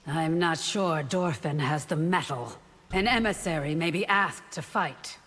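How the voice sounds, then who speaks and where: A woman's voice: deep voice, measured pace, a bit bright. An actress playing a disciplined, confident female warrior in a video game, firm and coolly challenging. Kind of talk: video game fight banter, dramatic voice acting. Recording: long hall reverb.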